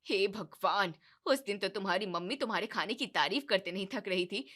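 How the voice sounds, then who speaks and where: A woman voicing a strict middle-aged mother-in-law in a cartoon story, nagging in a loud, scolding way. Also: mid-range voice, a little fast, voice pushed down. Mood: exasperated.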